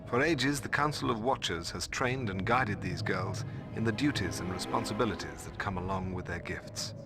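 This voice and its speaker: An actor playing a learned, solemn male mentor in a video game, narrating lore in a measured, formal way. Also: lowish voice, music in the background, natural voice. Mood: grave, scholarly. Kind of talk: scripted storytelling narration.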